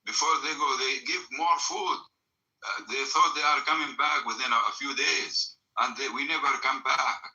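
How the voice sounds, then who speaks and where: Emphatic man, bright tense voice. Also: mid-range voice, moderate pace, muffled recording. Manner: broken English with many fillers, emphatic. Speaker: storyteller recounting family memory. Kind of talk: emotional personal storytelling.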